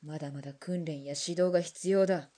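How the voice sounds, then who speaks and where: A woman's voice: fairly even intonation, fairly quick, dark tone. A woman playing a defiant Russian ex-officer in a radio drama, blunt and challenging. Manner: blunt, challenging, low and clipped. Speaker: former Russian imperial soldier. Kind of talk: hobby radio drama acting.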